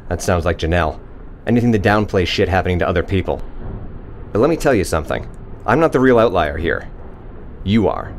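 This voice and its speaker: A man's voice: sits low, animated, fairly quick, a little hiss or hum. A man acting in an audio drama as a bitter, scarred spaceship crewman, dry, cynical and self-deprecating. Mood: cynical, pointed.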